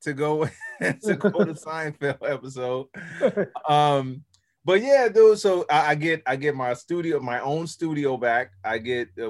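Man, mid-pitched voice, animated, slangy, podcast host chat. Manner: animated, slangy, lots of restarts. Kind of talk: casual chat.

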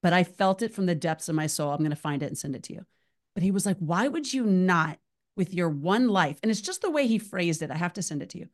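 A woman with a low voice hosts a podcast in easy conversation, chatty and prone to rambling tangents. Her speech is loose and enthusiastic, full of asides. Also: lively intonation, fast. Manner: chatty, rambling, self-described tangent talker. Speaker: podcast host.

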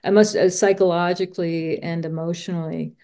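A woman's voice: lowish voice, little pitch movement, brisk, warm tone. A woman hosting a podcast interview, speaking informally and searching for words as she repeats herself. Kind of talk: conversational interview.

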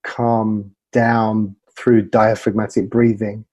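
Man, communication coach, interview guest, fairly flat intonation, very dry close sound. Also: lowish voice, slow, no echo. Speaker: communication coach and former broadcaster. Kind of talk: interview conversation, explaining with anecdotes.

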